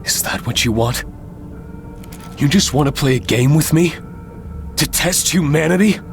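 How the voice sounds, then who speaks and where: An actor playing a grim, defiant hero in a video game cutscene, terse and confrontational. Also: male voice, fairly high pitch, animated, a little slow, creaky, soft background music. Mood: challenging, incredulous.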